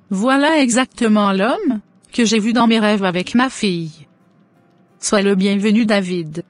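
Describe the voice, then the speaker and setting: A woman playing a pious, joyful middle-aged mother in an animated religious story, speaking in solemn, formal blessings. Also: mid-range voice, no room sound, natural voice.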